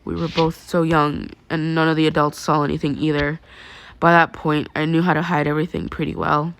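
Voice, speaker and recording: A guarded, stoic teenage boy read by a woman in a story. The delivery is subdued and monotone, stating things plainly in a lowish voice. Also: a little fast, dry recording. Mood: gentle reassurance. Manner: monotone, matter-of-fact, subdued.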